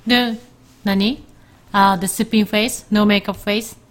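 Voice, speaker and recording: A Japanese woman chatting casually in halting English, with Japanese as her first language, laughing often. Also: medium pitch, fairly even intonation. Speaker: co-host. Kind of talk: casual chat.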